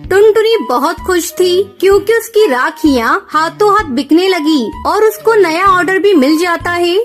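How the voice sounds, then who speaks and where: A woman's voice: very high-pitched, expressive, moderate pace. A woman narrating a scripted children's story as storyteller. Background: music.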